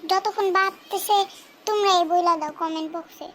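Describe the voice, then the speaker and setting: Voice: extremely high pitch, steady tone, a little fast, sharp tone, slight background noise. A performer voicing a cheeky, clever young boy in a cartoon, speaking in a teasing, playful way. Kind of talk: cartoon dubbing.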